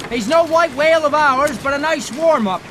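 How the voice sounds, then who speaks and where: An actor playing an easygoing, pipe-smoking second mate of a whaler in an audio drama, amid wind and boat sounds. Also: male voice, extremely high pitch, normal speed.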